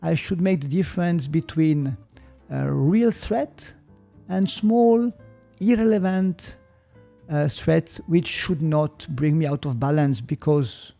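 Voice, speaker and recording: A man with a Swiss German accent, explaining ideas on a podcast in a slow, reflective way and sometimes searching for English words. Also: a little high, animated, vocal fry, dark tone, faint music behind. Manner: slow, thoughtful, gets stuck on English words. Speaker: podcast guest, martial arts teacher.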